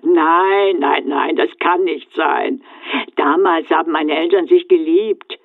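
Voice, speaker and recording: A woman's voice: deep voice, takes it slowly, no echo, phone-quality sound. An actress playing an older mother, defensive and attached to her memories, in radio drama dialogue. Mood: dismissive, defensive.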